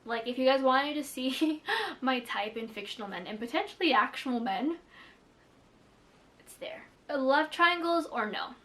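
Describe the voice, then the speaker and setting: A woman's voice: high voice, lively intonation, a little slow, a bit bright. A young woman from the Philippines whose first language is Tagalog, chatting casually to camera about books, with lots of "like" fillers and self-deprecating asides. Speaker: book vlogger.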